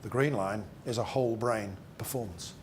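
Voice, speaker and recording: Emphatic male presentation trainer, slightly noisy room. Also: fairly low pitch. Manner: emphatic, rhetorical questions and deliberate repetition. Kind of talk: interactive training seminar talk to a group.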